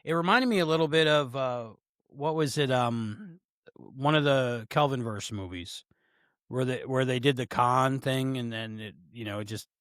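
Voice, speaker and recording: A man's voice: medium pitch, normal speed, fairly bright tone, no echo. Man chatting casually with a dry close sound. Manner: hesitant, repeats words, emphatic opinions. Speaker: podcast co-host. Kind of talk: casual podcast chat.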